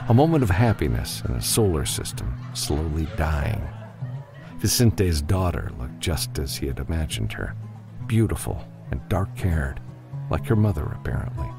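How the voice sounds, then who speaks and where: A man narrating a science fiction audiobook in a measured, expressive storytelling style. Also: a bass voice, vocal fry.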